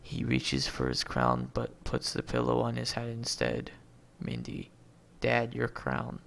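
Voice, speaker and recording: A man with a heavily creaky, gravelly voice reads a screenplay aloud. His pitch moves around in a lively way as he announces each character name and stage direction, and he stumbles over words like an amateur reader. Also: measured pace. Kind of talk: reading a movie script aloud, stage directions and all lines.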